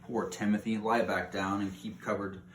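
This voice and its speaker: A man voicing a caring, brisk mother mouse in a storybook reading. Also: lowish voice, level tone, lightly acted. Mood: gently worried. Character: motherly female mouse, cheerful then anxious and firm. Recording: roomy echo, clear background hiss.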